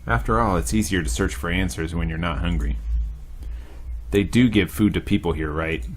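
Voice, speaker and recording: A man's voice: low voice, normal speed, faint music behind. A young man reading a visual novel aloud as a let's play host, with dry, joking asides.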